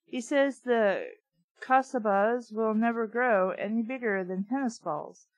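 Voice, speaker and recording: A woman's voice: fairly high pitch, measured pace, no room sound. A woman reading a book aloud and reacting in casual, blunt asides, often incredulous and sweary. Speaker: host reading and reviewing a children's book. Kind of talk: read-aloud with casual running commentary.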